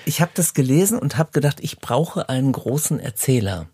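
A man's voice: medium pitch, animated, moderate pace, warm tone, husky. A man hosting a casual interview, asking questions and commenting in a conversational style.

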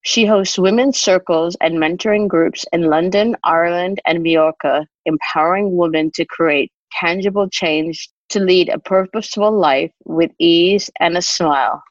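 A woman podcast host introducing a guest in a scripted, even delivery. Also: fairly low pitch, normal speed, hardly any pauses, no room sound, muffled recording.

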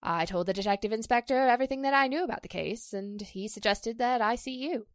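A woman playing a distressed, pleading stage actress in a 1940s-style radio drama, halting and emotional. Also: fairly high pitch, dry recording, studio quality, lightly acted. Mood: tense, halting. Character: distressed stage actress accused of a publicity stunt, pleading, wounded.

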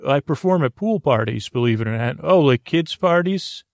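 A man hosting a bedtime podcast, telling a meandering, halting story full of restarts and fillers to help listeners fall asleep. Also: on the high side, lively intonation, gravelly, no echo, studio quality. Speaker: podcast host. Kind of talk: rambling bedtime story to put listeners to sleep.

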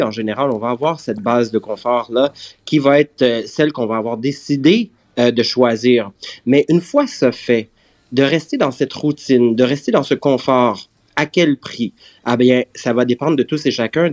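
A man from Canada with a Quebec French accent, speaking as a guest in a panel discussion in a measured, explanatory manner. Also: medium pitch.

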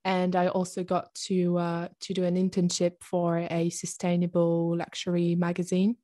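A woman's voice: fairly low pitch, monotone, moderate pace, dark tone. A woman in her twenties from France, speaking English with a French accent in a casual interview, informal and full of hesitant fillers. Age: 20s. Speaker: podcast guest, young entrepreneur.

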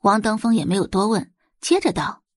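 A woman's voice: medium pitch, expressive, brisk, husky, no room sound, studio quality. A woman narrating a scripted audio drama in a dramatic storytelling style.

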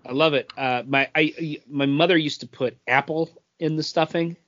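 A man's voice: fairly high pitch, steady tone, moderate pace, fairly bright tone. A man co-hosting a podcast, chatting casually and conversationally. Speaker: podcast co-host. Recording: dull, lo-fi audio.

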